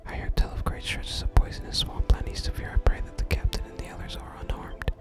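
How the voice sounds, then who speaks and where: A person whispering through an ASMR video game playthrough, reading the game's text and commenting quietly. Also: fast talker. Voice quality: whispered, unvoiced. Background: game music.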